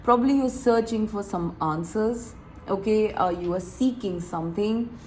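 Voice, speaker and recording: A woman recovering from a cold, reading tarot to her viewers in a chatty, relaxed way, laughing and clearing her throat often. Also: medium pitch, normal speed, a little hiss or hum, low-quality recording. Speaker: tarot reader. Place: room with door open, wind chimes outside.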